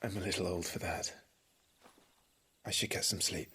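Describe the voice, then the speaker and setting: A dutiful young knight in a game cinematic. The voice is extremely breathy and husky yet has a tense brightness, earnest and devoted. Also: male voice, low-pitched, steady tone, moderate pace, exaggerated voice. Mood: earnest, subdued. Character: earnest, dutiful teenage knight, sworn protector. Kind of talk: video game cinematic acting.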